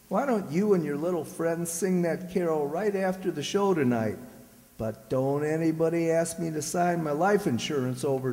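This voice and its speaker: A man playing a grouchy but soft-hearted old curmudgeon husband in a blustering old-time radio comedy style. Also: on the high side, natural voice. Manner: blustering, grumbling. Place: live stage in a hall. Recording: echoey hall, distant stage sound.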